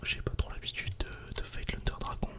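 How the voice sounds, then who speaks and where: A man softly whispering casual, informal ASMR commentary while playing a video game. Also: fairly quick. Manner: soft-spoken, casual, informal.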